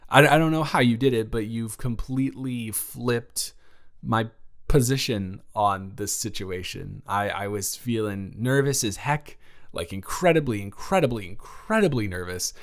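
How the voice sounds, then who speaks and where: A man's voice: fairly low pitch, a little slow. A young man playing a shy, sweet college freshman in a romantic audio roleplay, stammering and rambling with earnest self-deprecation. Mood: nervous, grateful. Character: shy, nervous, sweet young male college freshman from small-town Georgia. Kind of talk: romantic audio roleplay, one-sided conversational acting.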